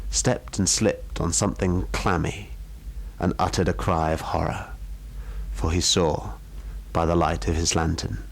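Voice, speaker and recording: A man giving a theatrical, deliberate reading of a horror story as a storyteller. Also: sits low, vocal fry, rough recording. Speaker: storyteller, guest performer.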